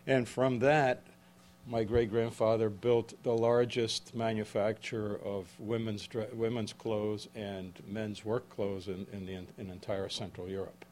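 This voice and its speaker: A man in his seventies, from the Czech Republic, giving a book talk to a live audience in a halting way with frequent restarts and self-corrections. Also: fairly low pitch, little pitch movement, a little mellow, slight background noise. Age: 70s. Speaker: author. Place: event room with an audience.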